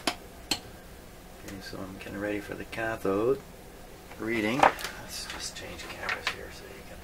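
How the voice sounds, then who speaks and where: Low, gravelly man muttering at a workbench. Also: little pitch movement, very noisy. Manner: muttering to himself, halting. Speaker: host of a radio repair video. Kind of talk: unscripted hands-on demonstration, thinking aloud. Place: radio repair workshop.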